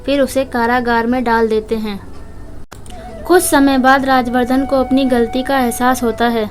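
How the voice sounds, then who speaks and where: A woman's voice: high voice, steady tone, normal speed. A woman narrating a children's moral story from a script, as a storyteller. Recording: music behind the voice. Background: background music.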